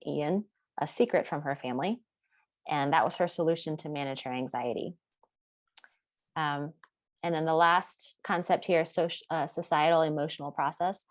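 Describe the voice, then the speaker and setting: Woman, student presenter, low voice, dry close sound, steady explanatory delivery. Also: dry recording. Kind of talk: recorded class presentation.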